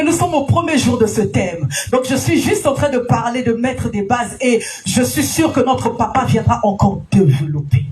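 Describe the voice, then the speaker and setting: A woman preacher delivering a sermon in an emphatic, sing-song manner, rising to shouts and calling out alleluia between questions to the congregation. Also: on the high side, poor audio. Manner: frequent alleluias and direct questions to listeners. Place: church gathering.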